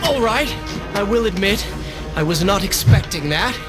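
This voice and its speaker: An actor playing a proud young Persian prince in a video game, earnest, formal and pleading. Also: male voice, high-pitched, lively intonation, normal speed. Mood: urgent. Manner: earnest, formal storybook diction. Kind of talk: dramatic video game cutscene acting. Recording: loud music behind game dialogue.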